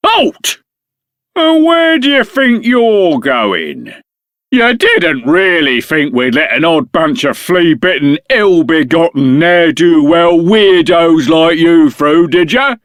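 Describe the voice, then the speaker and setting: A haughty, swooping rude gate guard. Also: male voice, fairly high pitch, fairly bright tone, no echo, studio quality, heavily acted. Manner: haughty, scolding. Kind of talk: video game character acting.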